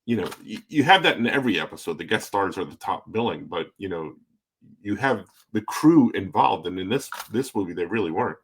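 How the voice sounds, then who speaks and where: A man's voice: low-pitched, expressive, fairly quick, fairly bright tone, husky. A man co-hosting a casual podcast, riffing with loose, jokey remarks and many filler words. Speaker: podcast co-host.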